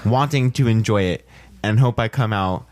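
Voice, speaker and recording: A young man co-hosting a podcast, speaking casually as a fan with eager, animated interjections. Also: on the low side, moderate pace, no echo. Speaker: podcast co-host.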